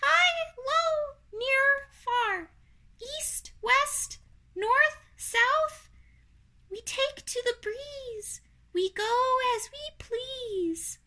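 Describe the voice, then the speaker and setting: Woman voicing tiny, squeaky, eager baby spider. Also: drawn-out words, bright, forceful tone, full character voice. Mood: excited. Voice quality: small, thin tiny voice. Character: tiny newborn spiders, young females, friendly and eager. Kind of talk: children's storybook character reading.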